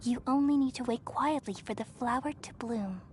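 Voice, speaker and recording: A woman playing a serene, wise goddess in a video game, speaking gently and in a measured, reassuring way. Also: fairly high pitch, slight background noise, lightly acted. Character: serene, wise female deity, remnant consciousness of a dead ruler. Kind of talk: scripted video game voice acting. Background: background music.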